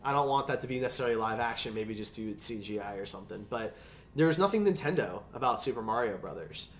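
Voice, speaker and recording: A man with a medium-pitched voice hosts a casual film discussion. He talks at length in an opinionated, conversational way. There is faint background noise on the recording. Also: lively intonation, quick pace, reverberant. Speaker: podcast host. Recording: noisy room background.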